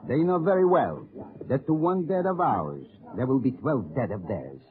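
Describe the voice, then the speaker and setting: An actor playing a wily, exuberant French resistance leader in old radio drama style, theatrical, with a French accent. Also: male voice, mid-range voice, sing-song, normal speed, lightly acted. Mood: confident, earnest. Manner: theatrical, repetitive, chuckling. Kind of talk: 1940s-50s radio drama acting. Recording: tinny, band-limited old radio broadcast, crowd behind.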